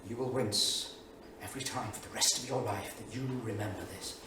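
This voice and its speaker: A man's voice: lowish voice, steady tone, a little fast, lightly acted. Middle-aged male diarist and veteran, played by a man, sardonic, emphatic stage reading; heavily reverberant, off-mic. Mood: grave, reflective. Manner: sardonic, emphatic, literary declamation. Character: anguished middle-aged male English writer, war veteran, scornful diarist. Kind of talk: staged reading of dramatised diary. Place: small theatre studio. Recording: echoey theatre, distant mic, faint noise.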